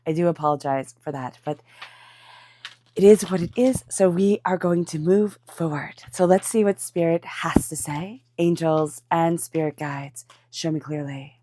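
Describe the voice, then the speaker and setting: A woman's voice: low voice, a little slow, dark tone, a little hiss or hum. A congested woman with a cold giving an encouraging tarot reading, talking straight to the listener. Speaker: tarot reader. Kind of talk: tarot reading with guided meditation.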